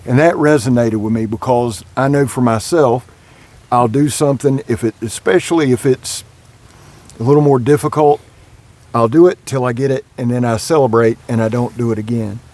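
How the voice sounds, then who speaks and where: A man hosting a casual interview outdoors at a Florida camp, enthusiastic and quick to agree. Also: mid-range voice, darkish tone. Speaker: host.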